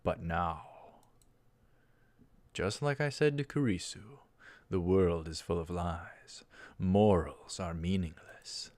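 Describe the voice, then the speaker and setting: A man's voice: deep voice, slow, a little mellow. A man hosting a let's play, reading a visual novel's narration aloud and adding brief asides. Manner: stumbles over lines and corrects himself.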